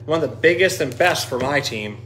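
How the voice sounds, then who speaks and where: Man as a blunt sports coach, slightly high voice. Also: lively intonation, a little fast, fairly bright tone, a little hiss or hum. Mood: approving. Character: gruff sports coach, bluntly judging turkeys.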